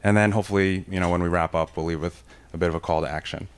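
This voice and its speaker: A man's voice: low-pitched, very fast, smooth. A man and former camp counselor speaking energetically on a conference stage with a handheld mic, describing his work on a panel. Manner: energetic, self-described loud voice. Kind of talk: conversational panel talk. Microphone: handheld microphone.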